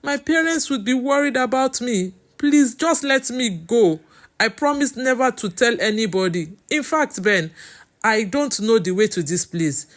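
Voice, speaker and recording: A woman's voice: on the low side, expressive, a little slow, a little mellow. Woman acting a frightened, pleading young woman. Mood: distressed, pleading. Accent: Nigerian. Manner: stammering, pleading.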